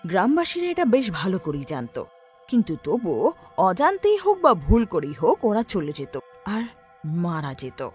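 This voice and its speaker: A woman's voice: mid-range voice, huge pitch swings, normal speed, breathy edge. Woman narrator, wildly swinging dramatic delivery. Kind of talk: dramatic scripted horror story narration. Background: background music.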